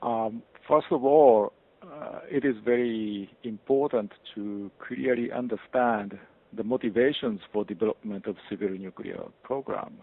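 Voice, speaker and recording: Gravelly man on a thin line. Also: fairly low pitch. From Japan. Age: 50s. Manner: measured, formal, with frequent pauses and uh fillers. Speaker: guest professor. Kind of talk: interview answers. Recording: tinny phone-like line.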